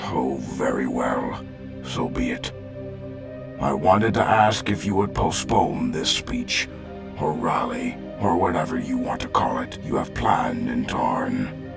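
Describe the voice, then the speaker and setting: Very deep, gravelly robot leader, played by a man, formal, slow and earnest. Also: huge pitch swings, over music, heavily acted. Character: solemn idealistic robot leader, male. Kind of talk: fan comic dub.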